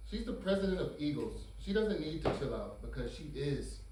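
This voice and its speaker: Someone doing a deadpan cartoon lab sidekick, fawning, super echoey with clear noise. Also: medium pitch, normal speed, natural voice. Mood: deadpan, scolding. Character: deadpan, fawning sidekick scientist Doctor Rodriguez. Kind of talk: comedy animation table read. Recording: echoey distant room, noisy background.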